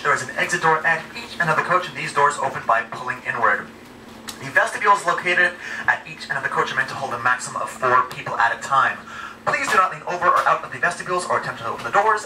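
A man working as a train attendant, giving a safety announcement with playful jokes inside a train coach, picked up by a far camera. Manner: playful, showman-like. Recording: distant echoey train coach with rumble.

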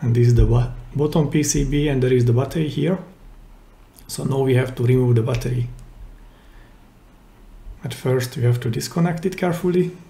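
A man giving a hands-on, step-by-step repair tutorial in simple, careful phrases with pauses mid-sentence, recorded indoors at a workbench. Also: mid-range voice, gravelly, dark tone. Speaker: DIY repair channel host. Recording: roomy, echoey indoor sound.

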